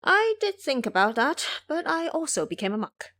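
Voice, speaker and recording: A woman's voice: high voice, sing-song, moderate pace, fairly bright tone, voice pushed up. A woman voicing a brash, proud monkey king turned monk in an audio drama, speaking boastfully and indignantly.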